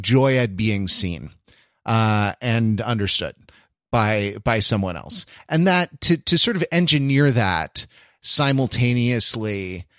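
A man hosting a podcast in a casual conversational style, thinking aloud in a halting, rambling and reflective way. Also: medium pitch, fairly bright tone, clean tone, no room sound, studio quality. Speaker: podcast host.

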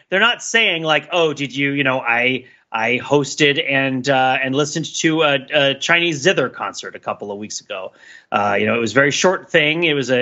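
A man with a high-pitched, very lively voice talks casually on a podcast. He rambles and restarts as he works out his thoughts, slipping into imagined quotes. The audio is slightly dull. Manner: rambling, self-interrupting, thinking aloud.

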